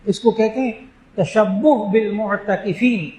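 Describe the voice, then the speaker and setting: A man from Pakistan, an Islamic scholar, giving an emphatic religious talk that answers questions and slowing down to stress key phrases. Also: high-pitched, mellow. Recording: echoey room.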